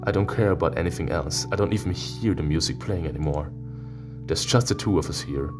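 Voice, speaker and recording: Male reader as introspective furry visual novel lead, deep and earnest, tender delivery over clear music. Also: fairly quick, close to an everyday voice. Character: introspective, tender anthropomorphic animal narrator of a romance visual novel.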